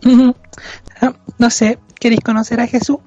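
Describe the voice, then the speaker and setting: A woman's voice: on the high side, little pitch movement, normal speed, warm tone, no room sound, lo-fi sound, soft background music, lightly acted. A woman with a Chilean accent playing a sweet, devout young evangelical in an improvised comic radio play. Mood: coy, inviting.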